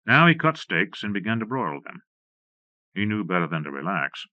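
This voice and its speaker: Male narrator, western audiobook: bright forceful edge, dry close sound, dulled cassette transfer. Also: fairly low pitch, big pitch swings, normal speed, no room sound. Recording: slightly dull, lo-fi cassette transfer.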